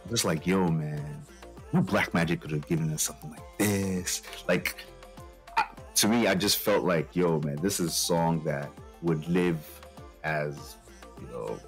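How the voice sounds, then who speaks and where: A man's voice: low-pitched, a little slow. A man co-hosting a casual music review, talking in a slangy, emphatic, informal way. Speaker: guest co-host of music podcast.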